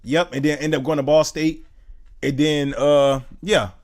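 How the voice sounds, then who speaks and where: A young man in his twenties from Indiana, chatting casually on a live stream, loose and slangy, reading chat aloud and thinking out loud. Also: a little high, steady tone, unhurried. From Indiana, USA. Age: 20s. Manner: slangy, loose, says "bro" a lot.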